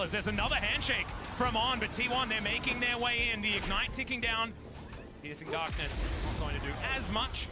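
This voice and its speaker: A man giving live play-by-play commentary on an esports match. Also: fairly high pitch.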